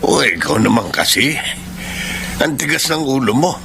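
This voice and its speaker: A man's voice: medium pitch, very animated, moderate pace, creaky. An actor playing an older father, paternal and admonishing, wary of scandal, in a radio drama. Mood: scolding, cautioning. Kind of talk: Tagalog radio drama acting. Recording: noisy radio drama track.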